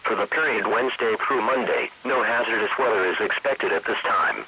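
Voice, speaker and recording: A synthetic man's voice reading an automated weather forecast in formal, even phrases. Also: on the low side, brisk, dull audio, a little hiss or hum. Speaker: weather radio announcer.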